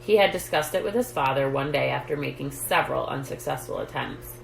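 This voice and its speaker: Storytime reader: woman, low register with fry, measured children's-book delivery, echoey room. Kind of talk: reading a children's book aloud. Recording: roomy echo, light background noise.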